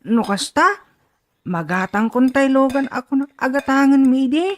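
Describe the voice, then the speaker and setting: An eager young child who adores an aunt. The voice is fairly high and swoops around, with an Ilocano accent, in radio-drama style. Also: female voice, animated, normal speed. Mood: excited.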